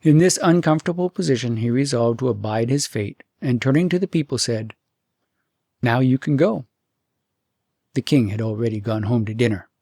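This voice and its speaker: Male audiobook narrator for fairy tales, mid-pitched with some gravel, expressive measured read, dry close sound. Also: no room sound. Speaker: audiobook storyteller and host. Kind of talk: scripted storytelling narration of a fairy tale.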